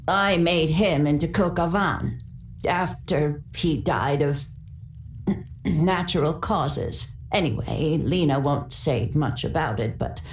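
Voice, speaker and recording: A woman's voice: low-pitched, roomy sound, exaggerated voice. A woman playing a wry, mischievous elderly grandmother in a video game, with a teasing delivery. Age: older. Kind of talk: video game character voice acting.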